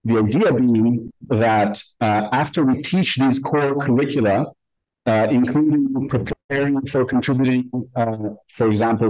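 Male conference speaker, medium pitch, deliberate and emphatic explainer, dry recording. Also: a little mellow, no echo, rough recording. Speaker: conference presenter. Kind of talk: explanatory conference presentation with slides. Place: indoor conference room.

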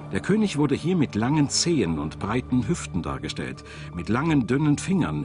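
A man with a standard German accent narrating a scripted documentary in a measured, dramatic storytelling manner. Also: fairly low pitch. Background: background music.

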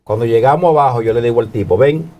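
A Dominican man in his sixties speaking as a TV panel guest, telling anecdotes and explaining in a deliberate manner. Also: mid-range voice, warm tone. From Dominican Republic. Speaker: guest panelist, TV channel director. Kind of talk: anecdotal political talk. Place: TV studio. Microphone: lapel.

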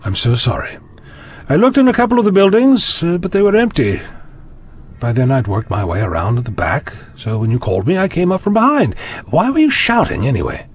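A man's voice: mid-range voice, big pitch swings, a little fast, husky. A man playing a curious, breezy, slightly fussy adventurer in an audio drama, musing and chatty.

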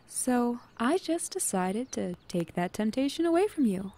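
A woman's voice: a little high, big pitch swings, a little slow, dark tone, full character voice. A woman acting a jealous, possessive witch wife in a retro radio drama, coaxing with sugary threats. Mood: sweet menace.

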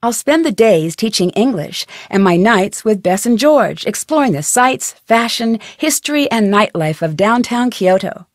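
Woman voicing a young girl detective in a video game, curious n upbeat, mid pitch, talks clearly, super dry sound. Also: lively intonation, moderate pace, few pauses, no echo, natural voice. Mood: bright, intrigued. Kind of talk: video game voice acting, scripted lines and letter narration.

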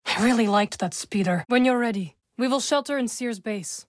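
A composed young witch from a video game, as a woman voices her, with a slightly high voice and measured, formal phrasing. Her humor is dry and understated. The close studio sound is very dry, with no room around it. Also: no echo, close to an everyday voice. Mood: calm. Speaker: game companion character. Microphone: close studio mic.

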